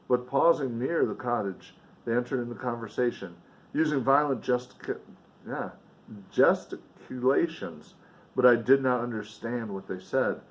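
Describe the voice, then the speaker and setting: A man's voice: medium pitch, dull audio. A man reading a novel aloud in a dramatic, emphatic way, with casual offhand commentary along the way. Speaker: reader and commentator.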